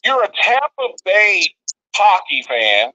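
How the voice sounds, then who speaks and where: Co-host, man from Maryland, bright voice, dull remote feed, teasing debater. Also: sits high, huge pitch swings, slow. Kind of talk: sports debate.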